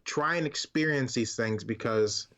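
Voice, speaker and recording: A man's voice: medium pitch, a little slow, fairly bright tone. A man co-hosting a casual conversational podcast, speaking in an emphatic, opinionated way. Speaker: podcast co-host.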